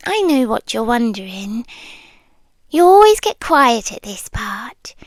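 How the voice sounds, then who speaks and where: A British woman voicing a possessive, centuries-old creature girlfriend in an intimate ASMR roleplay, soft-spoken and often whispering, close to the microphone. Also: on the high side, dry recording. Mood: calm, knowing. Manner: slow, intimate, deliberate pauses, slipping into whispers. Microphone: close, dry microphone.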